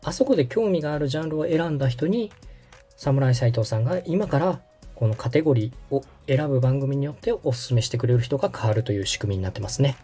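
Husky, lively man giving patient instructions. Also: medium pitch, fast talker, soft background music. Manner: patient, polite explaining. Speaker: host and radio director guiding a setup. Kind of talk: conversational step-by-step tutorial.

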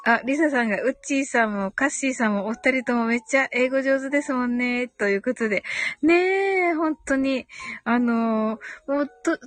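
A woman's voice: sits high, normal speed, dry recording, faint music behind. A woman hosting a live audio stream, chatting casually and politely as she reads and answers comments, with frequent agreeing hums and giggles.

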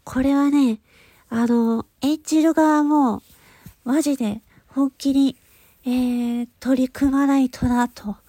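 A woman's voice: high-pitched, fairly even intonation, slow, warm tone, no room sound. A woman hosting her own audio channel, making a casual but polite announcement to listeners in hesitant phrases. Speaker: channel host and voice actor.

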